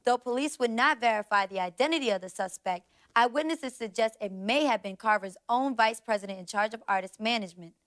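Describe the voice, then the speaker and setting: An actress playing a composed American television news anchor in a video game, formal and measured. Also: female voice, on the high side, a bit bright, lightly acted.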